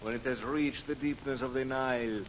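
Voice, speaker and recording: A man's voice: mid-range voice, fairly even intonation, normal speed, close to an everyday voice. An actor playing a vengeful Middle Eastern henchman in a vintage radio serial, speaking formally and melodramatically. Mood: grimly obedient. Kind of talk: 1940s radio serial acting. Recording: noisy, muffled old radio recording with clicks.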